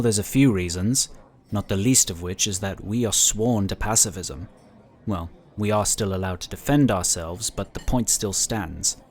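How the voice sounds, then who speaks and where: A man acts out a calm inquisitor of a psionic order for a sci-fi audio drama. He has a fairly low voice and speaks formally and courteously, laying things out with measured care. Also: a little hiss or hum, close to an everyday voice. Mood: firm, defensive.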